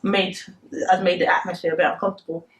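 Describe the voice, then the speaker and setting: A woman's voice: fairly low pitch, level tone, fast talker. A woman in her twenties from the Democratic Republic of Congo, a native Lingala speaker, speaking hesitantly and reflectively as an interview guest. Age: 20s. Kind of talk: conversational interview, personal recollection.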